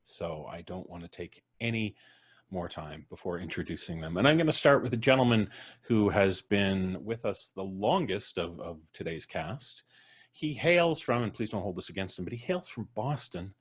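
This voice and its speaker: Low-voiced jokey male podcast host. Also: lively intonation, moderate pace, slightly rough. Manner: jokey, rambling, laughs at his own quips. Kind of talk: casual live-stream introductions. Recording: music playing in the background.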